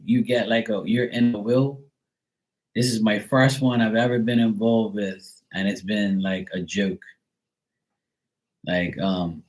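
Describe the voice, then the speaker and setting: A man's voice: lowish voice, poor audio. A man from Bermuda chatting casually on a live stream, telling rambling everyday stories with lots of fillers. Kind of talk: casual chat.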